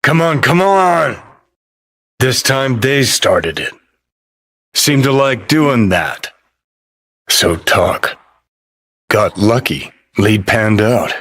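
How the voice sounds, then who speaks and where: A man's voice: medium pitch, sing-song, extremely slow, long pauses, a bit bright, exaggerated voice. A male actor playing a cynical, hot-tempered rebel rocker in a cyberpunk video game, with a terse, sardonic, swaggering delivery. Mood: angry, urgent.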